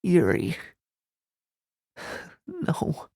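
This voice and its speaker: A man's voice: a little high, wildly swooping pitch, drawn-out words, creaky, mellow, husky, heavily acted. A man playing an anxious, earnest young male friend who pleads and stammers in a fantasy audio drama. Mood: distraught.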